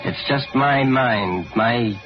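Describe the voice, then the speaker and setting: An actor playing a nervous, guilt-ridden young murderer in a 1940s radio horror drama, stammering and halting with anxiety. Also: male voice, mid-range voice, close to an everyday voice. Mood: panicked, muttering. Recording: dull vintage radio recording with music.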